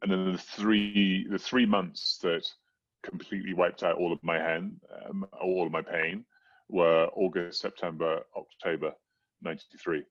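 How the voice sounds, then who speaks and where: Middle aged guy w low voice telling his story in an interview, kinda halting, repeats words, muffled lofi sound. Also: fairly even intonation, no echo. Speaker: interview guest. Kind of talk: personal storytelling in conversation. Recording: dull, muffled low-fidelity audio.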